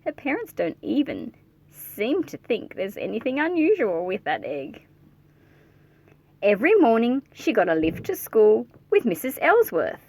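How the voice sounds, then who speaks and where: Animated, high-voiced woman reading to children. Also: a little slow. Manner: animated, playful, addressing young listeners directly. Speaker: read-aloud host reading a picture book to children. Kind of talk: expressive children's storybook read-aloud with chatty asides and questions to listeners.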